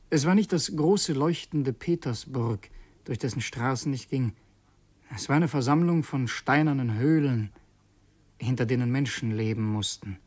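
An actor playing an earnest, brooding revolutionary who narrates in an intense, halting way in a radio play. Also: male voice, medium pitch. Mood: melancholy. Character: earnest, brooding male revolutionary narrating his secret errand. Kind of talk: radio play, first-person dramatic narration.

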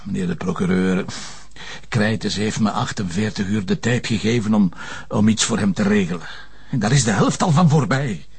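An actor with a Flemish accent playing a harried, stubborn police commissioner in a naturalistic TV crime drama, with a husky, gravelly voice. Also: male voice, lowish voice, moderate pace, a little mellow, heavily acted. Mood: pressured, defensive.

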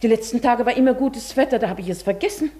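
A woman acting in a German radio play as a genteel, proud lady who is secretly desperate, polite and composed, with a husky voice. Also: on the high side, fast, mellow. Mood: evasive, polite. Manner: polite, composed, confiding when talking to herself.

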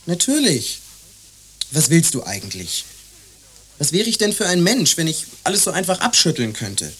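A man's voice: fairly high pitch, wildly swooping pitch, moderate pace, fairly bright tone, husky, heavily acted. An actor playing an exasperated young man in a radio play, arguing emphatically and repetitively in defence of his attachments. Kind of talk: radio play dialogue acting.

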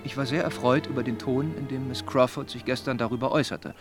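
An actor playing an earnest, well-meaning young gentleman in a German radio drama, speaking in a measured, formal, persuading way. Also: mid-range voice, steady tone, music in the background, lightly acted.

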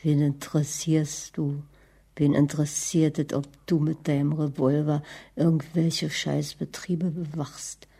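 A middle-aged woman playing a bitter, scornful, nagging wife in a radio drama, with a raspy voice. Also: sits low, level tone, unhurried, dark tone, full character voice.

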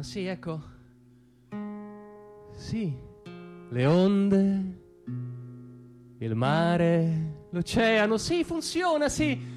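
A man performing a dramatic comic stage monologue as an anxious, conflicted dreamer, halting and repeating himself in agitation. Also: high voice, full character voice. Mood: rueful, settling. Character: anxious, conflicted dreamer, a man torn between conscience and panic.

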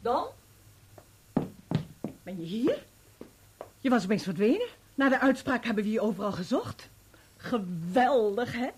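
An actress playing a remorseful, unfaithful wife in a Dutch radio play, speaking earnestly and persuasively. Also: female voice, a little high, very animated, measured pace, pauses often, slight background noise. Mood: puzzled, excited. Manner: earnest, emotional, persuasive pleading. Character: unfaithful, remorseful young wife, pleading and resolute.

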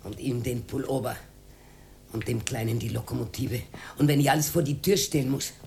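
An actress playing a proud, aggrieved old cleaning woman with an Austrian accent and a husky, cracked voice in a radio drama. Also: extremely low pitch, steady tone, a little fast, warm tone, a little hiss or hum. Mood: bitter, distraught. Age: older. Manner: emotional, rambling, swinging from mutter to outcry.

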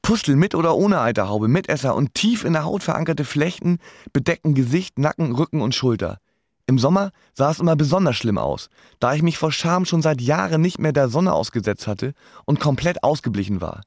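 A man's voice: fairly high pitch, animated, fairly quick, darkish tone, no room sound, clean studio sound. An actor playing a self-deprecating young man stuck in life, narrating his story in a radio drama with wry self-mockery. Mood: wry, resigned. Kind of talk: first-person scripted radio drama narration.

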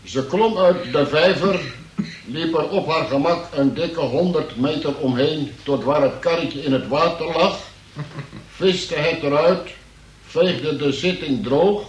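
Slow, formal police sergeant. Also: male voice, a little high. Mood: solemn, deliberate. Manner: formal, deliberate reading aloud. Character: plodding police sergeant reading out a confession. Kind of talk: radio play acting. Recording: echoey radio play with faint noise.

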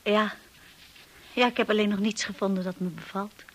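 An actress playing a timid, earnest young wife who tells her own story in a radio drama, with a husky, breathy voice. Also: mid-range voice, steady tone, moderate pace, natural voice. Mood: hesitant, polite. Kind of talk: radio drama acting and first-person narration.

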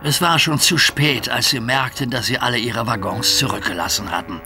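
A man narrating a scripted children's story in an expressive storytelling manner. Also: medium pitch, normal speed, music in the background.

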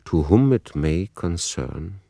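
Reflective novelist, low voice, dry close recording, deliberate literary radio play narration. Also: male voice, lively intonation, gravelly, warm tone, no room sound, full character voice. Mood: measured, ironic. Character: reflective male author musing over his novel.

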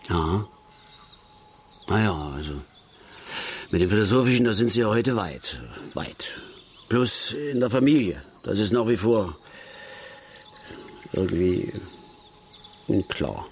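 An actor playing a middle-aged father and keen angler in a radio drama, blunt and aggrieved, speaking haltingly and repeating his words. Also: on the low side, lively intonation, a little mellow, a little hiss or hum. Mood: pensive. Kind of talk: naturalistic German radio drama acting.

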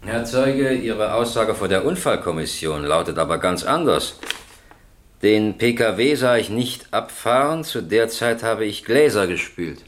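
A stern, echoing courtroom judge. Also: male voice, on the low side, animated. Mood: brisk, official. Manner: formal, measured, official. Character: stern, formal male presiding judge. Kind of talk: German radio crime drama acting. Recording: roomy, echoing courtroom sound.

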